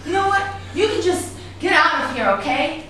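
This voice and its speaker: A woman's voice: very high-pitched, moderate pace, sharp tone. A woman playing a defiant, guarded actress in a staged drama reading, with a sarcastic, cutting delivery. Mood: angry, dismissive. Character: defiant, guarded actress in love with a suspected man. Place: echoey room at a staged reading. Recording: echoey room, distant mic, noisy background.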